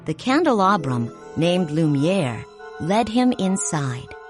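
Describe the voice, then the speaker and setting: A woman narrating a read-along storybook in a clear, measured, expressive way. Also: fairly low pitch, faint music behind.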